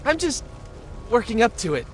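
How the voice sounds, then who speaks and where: A man playing a timid, hesitant young mutant pacifist who stammers, in an animated video game. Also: very high-pitched, soft background music. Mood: nervous, hesitant. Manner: halting, stammering.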